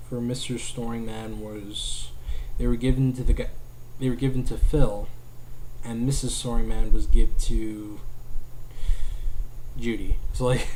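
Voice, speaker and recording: Young male vlogger, mellow and even-toned, rambling webcam talk from a small home room. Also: medium pitch, a little hiss or hum, rough recording. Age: young adult. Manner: rambling, heavy use of "like", restarts often. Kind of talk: casual talk to camera. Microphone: webcam at desk distance.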